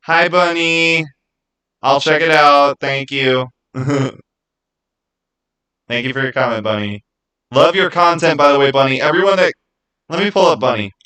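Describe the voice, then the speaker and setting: A man's voice: a little high, a little slow, long pauses, pushed and bright, clean tone. A man hosting a casual livestream, rambling and thinking aloud as he answers viewers by name. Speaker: livestream host.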